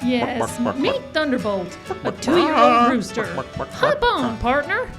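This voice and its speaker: A folksy cowgirl huckster played by a woman in an old-time radio ad, with a high, swooping voice and exaggerated western patter. She pushes her wares eagerly over music at a live outdoor show. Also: sing-song, slow, full character voice. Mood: hearty sales pitch. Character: folksy, eager cowgirl pitchwoman for a dude ranch. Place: outdoor park stage.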